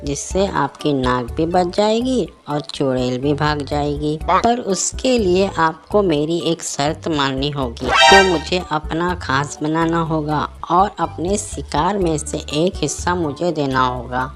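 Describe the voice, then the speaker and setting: A woman voicing a sly male fox, a wheedling, knowing adviser to a king, in an animated moral story. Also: deep voice, slow, few pauses, over music. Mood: sly, bargaining.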